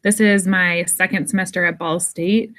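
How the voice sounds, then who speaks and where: A woman's voice: fairly low pitch, fairly even intonation, moderate pace, a bit bright. A thirty-year-old woman from the United States, a student introducing herself in a group presentation.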